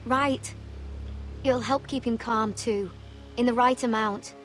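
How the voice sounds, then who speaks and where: An actress playing a proud, stubborn young woman who knows her herbs and speaks in a calm, measured way, in a video game cutscene. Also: fairly high pitch, faint music behind. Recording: faint game score behind.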